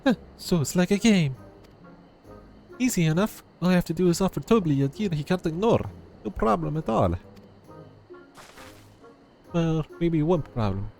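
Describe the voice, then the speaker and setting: A man's voice: on the high side, sing-song, normal speed, creaky, long pauses, darkish tone, soft background music. A man voicing an eager, proud young adventurer full of bravado as he reads video game dialogue.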